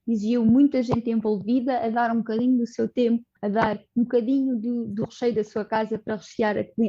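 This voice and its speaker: Portuguese-accented woman guest, mellow, earnest explainer, slightly dull audio. Podcast interview. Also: fairly high pitch. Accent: European Portuguese. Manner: earnest, explanatory, with frequent restarts and "portanto". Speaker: social coordinator of a community project, guest.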